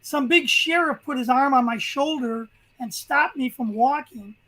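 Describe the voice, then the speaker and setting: An older man with a high voice, telling stories in a rambling, casual way as a guest on a video call. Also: a little slow, bright, forceful tone, rough recording. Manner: rambling, restarts sentences. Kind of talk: casual chat, storytelling.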